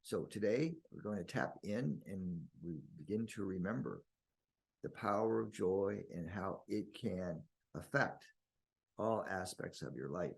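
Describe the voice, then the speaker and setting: Slow, low, gravelly male meditation guide. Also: animated, poor audio. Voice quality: husky, gravelly. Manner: slow, deliberate phrasing with long pauses.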